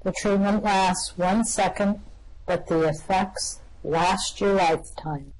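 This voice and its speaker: A woman's voice: medium pitch, slow pace, darkish tone, poor audio. A woman voicing an anxious, grim male alien fugitive who gives tense, ominous warnings, in an amateur radio play reading.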